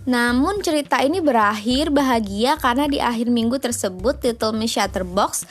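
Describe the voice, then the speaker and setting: An Indonesian woman with a high voice reads a children's story aloud. She is expressive and informal, like a mother at bedtime. Light music sits behind her narration. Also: moderate pace. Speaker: mother reading a bedtime story, podcast host.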